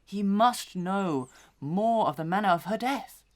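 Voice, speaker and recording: A woman playing a sharp, solemn accuser in a Victorian melodrama, speaking in a formal, declamatory way. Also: mid-range voice, expressive, a little slow, natural voice. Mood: stern. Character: sharp, solemn accuser examining murder evidence. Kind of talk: Victorian melodrama audio acting.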